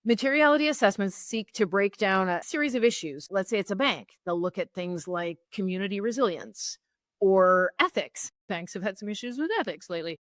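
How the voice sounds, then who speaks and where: A woman's voice: mid-range voice, animated, brisk, fairly bright tone, dry recording, studio quality. A woman guest on an interview podcast, a sustainability consultant explaining her ideas step by step in a reflective, conversational way.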